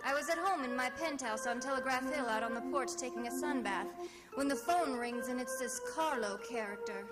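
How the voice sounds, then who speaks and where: Reverberant old radio: woman as hard-boiled American female sleuth, high voice, wry and sure of herself, loud music behind. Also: close to an everyday voice. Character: wisecracking, self-assured female private detective. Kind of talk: 1940s radio detective drama, first-person narration and dialogue.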